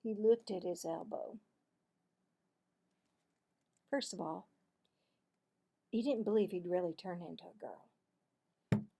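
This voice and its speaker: A woman reading a children's story aloud in an expressive, deliberate way, with the odd stumble over words. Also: lowish voice, vocal fry, breathy edge.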